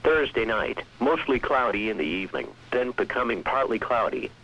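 A synthetic American male voice reading a scripted weather bulletin in a measured, impersonal manner. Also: medium pitch, animated, fairly bright tone. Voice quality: synthetic text-to-speech voice. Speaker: weather radio announcer. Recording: dull lo-fi weather radio broadcast.

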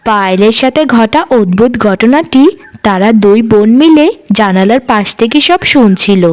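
A woman's voice: on the high side, lively intonation, normal speed. A woman narrator reading a scripted fairy tale for a cartoon.

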